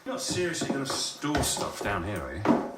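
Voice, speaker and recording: A man's voice: mid-range voice, huge pitch swings, moderate pace, very noisy. An actor playing a cheeky male technician in a radio drama, bantering. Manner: jokey, bantering.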